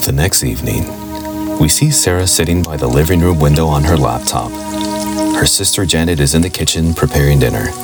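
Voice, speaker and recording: A man's voice: a bass voice, fairly quick. A man narrating a supernatural radio play in scripted present tense. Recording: loud music and rain underneath.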